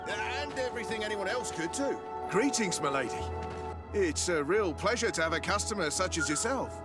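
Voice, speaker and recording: Loud music surrounds an eager merchant from a fantasy game. His voice is on the high side, and he chats in a flattering, obliging salesman's manner. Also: normal speed. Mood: eager, hopeful. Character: eager, flattering male merchant in a mining village. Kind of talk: scripted video game dialogue.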